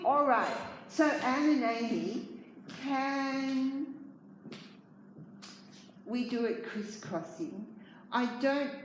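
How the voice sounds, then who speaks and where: A woman teaching a dance class, calling out counts and corrections at an unhurried pace. Her voice sits a little high and carries a long reverb from a large studio. She stands some way from the microphone, with faint noise in the background. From Australia. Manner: counting steps aloud, calling out corrections to pupils. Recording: echoey studio, teacher away from mic.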